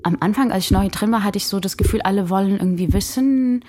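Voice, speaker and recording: A woman's voice: mid-range voice, fast talker, darkish tone. A woman talking reflectively as an activist in an interview, emphatic and often seeking agreement with short tag questions. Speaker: migrant activist.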